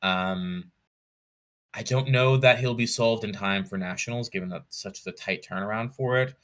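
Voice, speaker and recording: A man with a fairly low but bright, forward voice. He chats casually as a podcast guest, weighing his points in a measured, analytical way and thinking aloud. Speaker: podcast guest, competitive card game player. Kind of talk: casual interview chat.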